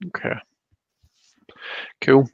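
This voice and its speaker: A man hosting a casual live-stream, chatting in a tentative, apologetic way. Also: very slow. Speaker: host of a live tarot reading show. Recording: muffled, roomy home live-stream sound.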